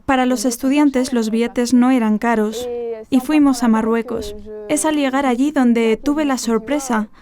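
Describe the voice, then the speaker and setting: A woman in her twenties from France calmly recounting her personal story in an interview, reflective and earnest. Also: a little high, measured pace, crowd noise. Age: 20s. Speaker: interviewee, graduate student. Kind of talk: personal testimony.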